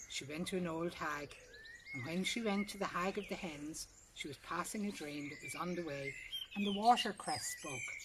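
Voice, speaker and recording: A woman's voice: fairly low pitch, normal speed. A woman with an Irish accent reading a folk tale aloud as a storyteller. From Ireland. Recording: clear background noise with birdsong.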